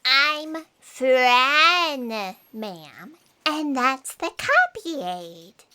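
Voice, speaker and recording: A woman's voice: high voice, sing-song, extremely slow, bright, forceful tone, clear, resonant tone, exaggerated voice. A woman playing an earnest, dutiful and formal office aide in a comic sci-fi audio drama. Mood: mild, reasonable.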